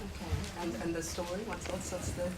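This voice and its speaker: A woman's voice: lowish voice, little pitch movement, normal speed, crowd noise. A woman hosting a live event, reading out an introduction and asking questions, laughing often and stumbling over words. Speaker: event host. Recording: loud noise in an echoey room.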